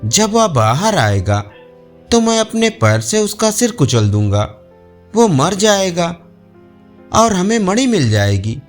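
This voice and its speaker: A man's voice: sits high, sing-song, slow, soft background music, full character voice. A man voicing a crafty, vengeful male elephant in a storybook tale, with a conspiratorial manner. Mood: plotting.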